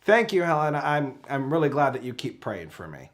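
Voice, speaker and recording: A man's voice: medium pitch, big pitch swings, a little fast. A man appearing as a guest on a talk show, chatting in a playful, joking way. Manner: playful, jokey. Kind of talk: casual talk show chat. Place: home in Oregon.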